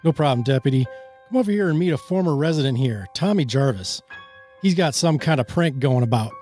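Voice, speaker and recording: A man playing a gruff, impatient small-town sheriff in an amateur radio play, hard-boiled, sarcastic and threatening. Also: medium pitch, expressive, a little fast, darkish tone, faint music behind, natural voice. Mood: wry, dismissive.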